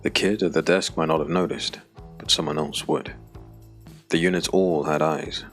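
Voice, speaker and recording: A man narrating a scripted dark fantasy audiobook with a deep, gravelly voice. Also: steady tone, moderate pace, faint music behind.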